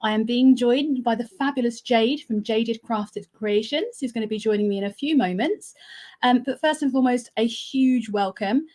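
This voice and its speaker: British woman host, chatty and gushing, slightly high voice, live video call. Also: normal speed, darkish tone. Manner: chatty and effusive, with fillers and laughs. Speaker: host of a live crochet show. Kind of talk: casual live-stream chat.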